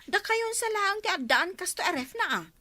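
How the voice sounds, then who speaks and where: A woman playing an excitable, outspoken neighbour in an Ilocano radio drama, full of exclamations. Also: very high-pitched, brisk, no echo, heavily acted. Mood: impressed. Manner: exclamatory, animated.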